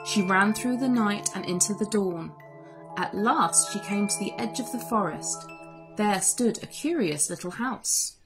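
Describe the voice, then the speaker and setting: A woman narrating a children's story aloud in an expressive storytelling style. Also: mid-range voice, a little slow, poor audio. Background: music.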